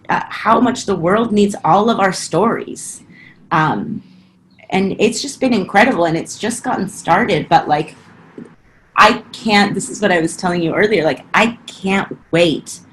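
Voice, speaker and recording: A woman's voice: lowish voice, a little hiss or hum, poor audio. A podcast guest from Argentina, a woman with a slight Argentine Spanish accent, chatting casually and acting out her own past thoughts. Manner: animated, quick, acts out her own past thoughts in quotes. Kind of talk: casual interview chat.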